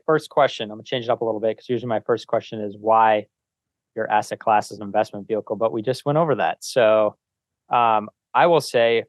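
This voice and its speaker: A man's voice: lowish voice, no echo. A man hosting an interview podcast in a casual, conversational style. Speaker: podcast host.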